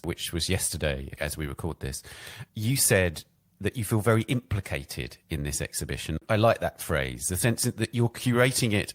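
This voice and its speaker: A man hosting an interview, asking engaged, conversational questions. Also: low-pitched. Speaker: interviewer, podcast host.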